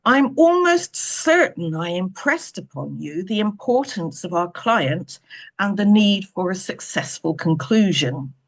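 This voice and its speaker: Woman reading as a stern sardonic boss man in a storybook, husky, clipped and very formal. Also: mid-range voice, very animated. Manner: measured, clipped, pointedly formal.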